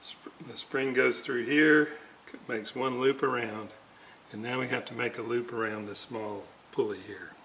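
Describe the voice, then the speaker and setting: A man giving a casual hands-on repair walkthrough, halting and muttering to himself as he works. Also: medium pitch, steady tone, a bit bright, slight background noise, low-quality recording. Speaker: hobbyist radio restorer, presenter.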